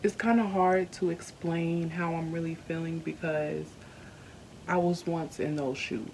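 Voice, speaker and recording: A young woman, speaking at home to her camera. Her voice sits low and dark, and her delivery is candid and earnest, slowed by feeling and full of small hesitations. A faint hiss runs under the recording. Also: steady tone, low-quality recording. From Southern United States. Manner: earnest, candid, slowed by emotion, many ums. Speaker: YouTuber. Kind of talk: personal vlog storytelling. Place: indoors at home.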